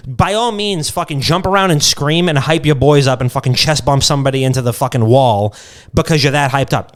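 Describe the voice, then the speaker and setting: A man co-hosting a podcast in casual, opinionated chat, blunt and emphatic with lots of cursing. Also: on the high side. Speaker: podcast co-host.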